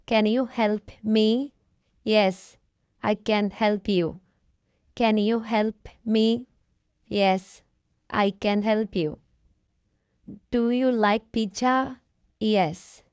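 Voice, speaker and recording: A woman slowly reading short beginner conversation drills for an English lesson, each line spoken with deliberate care. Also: mid-range voice, darkish tone, clear, resonant tone, no room sound, lo-fi sound. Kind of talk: slow scripted conversation drill.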